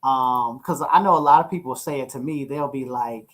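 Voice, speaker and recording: A middle-aged American man hosting a podcast, chatting casually in a rambling, slangy, animated storytelling way. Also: mid-range voice, normal speed, poor audio. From St. Louis, USA. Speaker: podcast host. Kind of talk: casual chat.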